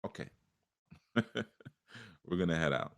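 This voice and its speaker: A man's voice: deep voice, lively intonation, slow pace, vocal fry, a bit bright, no room sound, clean studio sound. A man giving a casual spiritual teaching on a live show, talking in a conversational, rambling way with many asides.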